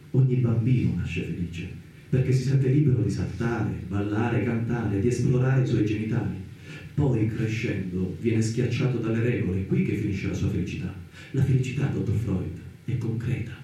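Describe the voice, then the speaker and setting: A man's voice: fairly low pitch, warm tone, natural voice. An actor playing an idealistic, impassioned male doctor in a theatrical radio drama, arguing in an earnest, rhetorical way. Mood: earnest, measured. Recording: echoey, distant, roomy sound.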